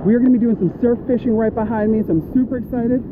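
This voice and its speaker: An eager young man talks to his viewers from a sunny beach, his voice sitting fairly high for a man. He speaks from a camera strapped to his chest. Steady wind and surf noise run under him, quite clearly. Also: fast talker, narrow, tinny audio. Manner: upbeat, keeps saying he is super excited. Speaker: fishing vlogger. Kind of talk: casual vlog narration to camera. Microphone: chest-mounted action camera.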